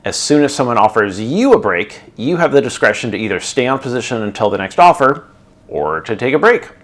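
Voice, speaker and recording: A middle-aged man hosting his channel, narrating a scripted explainer in a clear, instructional way. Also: mid-range voice, lively intonation, brisk, fairly bright tone. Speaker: channel host explaining air traffic control.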